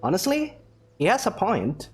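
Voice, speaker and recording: A woman's voice: medium pitch, wildly swooping pitch, normal speed, faint music behind. A woman voicing a blunt, practical, skeptical female warrior in an anime game, with dry, questioning delivery.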